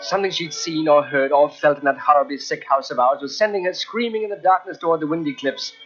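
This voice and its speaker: An actor playing the urgent hero and narrator of a haunted-house radio mystery, with theatrical intensity. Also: male voice, fairly high pitch, fast, a bit bright, faint music behind. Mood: breathless suspense. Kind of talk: old-time radio drama acting. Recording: muffled old radio cassette transfer.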